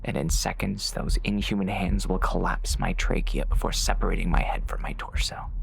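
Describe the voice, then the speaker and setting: A man playing a grim, wry bounty hunter who narrates a western audiobook in a gravelly, hard-boiled way. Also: low voice, a little hiss or hum, rough recording. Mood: grimly wry. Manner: hard-boiled, sardonic, intense. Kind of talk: first-person scripted audiobook narration.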